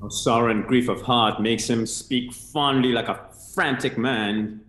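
A man's voice: mid-range voice, steady tone, measured pace, a bit bright, close to an everyday voice. Man acting a grave, echoey-sounding noble. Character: grave nobleman reporting on a grieving king. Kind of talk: Shakespearean staged reading. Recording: echoing, slightly noisy home recording.

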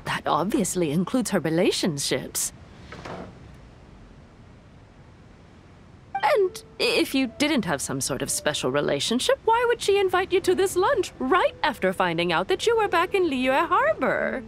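A woman's voice: high voice, huge pitch swings, normal speed, a little hiss or hum, exaggerated voice. A woman voicing a curious young merchant woman in a video game, chatty, inquisitive and a little gossipy. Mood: teasing.

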